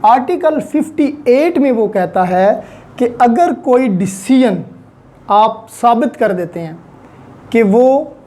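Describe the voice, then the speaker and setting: Female law lecturer, Pakistani accent, very mellow dark tone, methodical and repetitive explanatory delivery. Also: fairly high pitch, expressive, takes it slowly. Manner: methodical, repeats key points in short phrases. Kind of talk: explanatory lecture reviewing legal articles.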